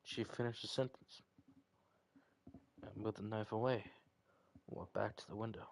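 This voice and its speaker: A man reading visual novel text aloud in an amateur, slightly halting way. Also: fairly low pitch, fairly even intonation, vocal fry, no echo. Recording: loud music over voice. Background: loud piano music from the game.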